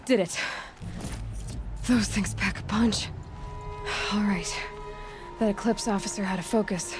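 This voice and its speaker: A woman's voice: mid-range voice, steady tone, a little slow, music in the background, lightly acted. A woman playing a determined, wary young hunter in a video game, dryly thinking aloud to herself. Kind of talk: video game cutscene acting.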